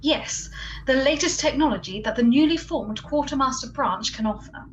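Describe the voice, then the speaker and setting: A woman plays a loyal, bossy gadget expert in a comedy radio play. She speaks in a fairly high voice with brisk, emphatic, deadpan delivery over faint noise. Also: lightly acted.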